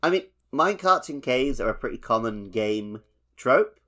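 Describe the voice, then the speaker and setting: A man's voice: medium pitch, expressive, normal speed, sharp tone. A man co-hosting a podcast, talking casually about a video game. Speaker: podcast co-host.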